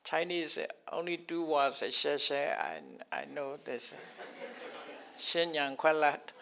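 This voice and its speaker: An older man who is not a native English speaker teaches scriptural terms to a class in a halting way, repeating words and chuckling often. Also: on the high side, gravelly, sharp tone. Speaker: Buddhist teacher.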